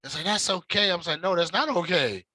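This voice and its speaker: 40s guy, high bright voice, swears a lot, animated storytelling, casual chat. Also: fast talker, no room sound. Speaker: co-host of a reaction channel.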